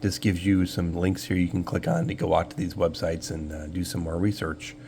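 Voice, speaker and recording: A man's voice: low voice, normal speed. A man casually hosting a screen walkthrough, explaining things step by step in an informal, easygoing way. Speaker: host of a shortwave radio channel. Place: indoor room, filming a computer screen. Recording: clear steady hum and background noise.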